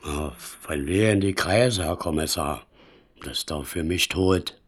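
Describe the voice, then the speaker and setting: An actor in a German radio play voicing a weary, evasive small-time lowlife with a gravelly, husky voice. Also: male voice, measured pace.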